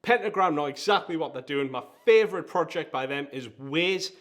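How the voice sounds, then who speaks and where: A man's voice: a little high, animated, moderate pace, fairly bright tone. A man with a Geordie accent hosting a countdown-style video, talking in an enthusiastic, emphatic way. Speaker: video host, design agency founder. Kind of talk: presenter-style vlog countdown.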